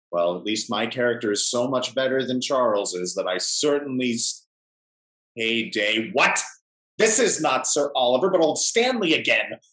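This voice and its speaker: A man acts out a blustering, easily flustered schemer from a period comedy. His delivery swings widely in pitch and is theatrical and exasperated. The sound is a roomy home video call. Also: fairly bright tone, lightly acted. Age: middle-aged. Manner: declamatory, exasperated. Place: indoor room at home. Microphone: computer mic on video call.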